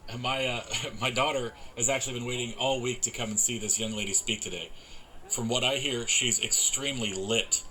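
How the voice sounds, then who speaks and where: An actor playing a genial, folksy middle-aged man who emcees a community event in a measured public-speaking manner. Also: medium pitch, fairly even intonation, pushed and bright, slight background noise, natural voice, low-quality recording. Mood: genial, welcoming. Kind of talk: public welcome speech.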